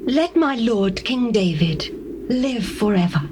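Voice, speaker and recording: A woman's voice: a little high, lively intonation, takes it slowly, noisy background. Earnest, pleading biblical queen. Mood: pleading, reverent. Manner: formal, declamatory. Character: earnest, deferential queen pleading for her son. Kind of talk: dramatized scripture reading in biblical English.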